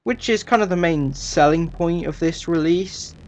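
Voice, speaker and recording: A man giving an informal review commentary as a reviewer, in a conversational, somewhat hesitant and measured manner. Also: on the high side, slight background noise.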